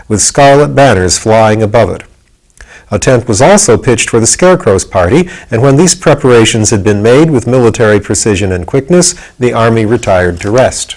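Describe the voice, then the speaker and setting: A man narrates a children's storybook from a script. His voice sits in a middle pitch range as he reads the tale aloud. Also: darkish tone, low-quality recording. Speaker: narrator, audiobook reader.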